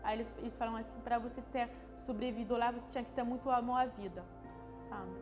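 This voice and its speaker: A woman's voice: a little high, fairly even intonation. Woman telling her story in an interview, stops and restarts a lot, echoey with music behind. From France. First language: French. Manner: halting, searching for words, frequent restarts and tag questions. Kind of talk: personal testimony interview. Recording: long reverb with music underneath.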